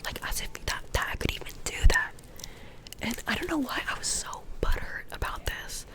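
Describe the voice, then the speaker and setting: A young woman getting over a cold, softly telling rambling, self-deprecating stories from her school days in an ASMR whisper. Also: normal speed. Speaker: vlogger.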